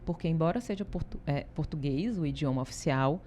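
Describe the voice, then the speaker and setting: A woman from Ceará, Brazil, speaking with a Northeastern Brazilian accent as an interview guest, telling stories in an animated way, laughing often and asking "né?" a lot. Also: sits low, fairly quick, slight background noise. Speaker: interview guest, consultancy founder. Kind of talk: casual interview talk.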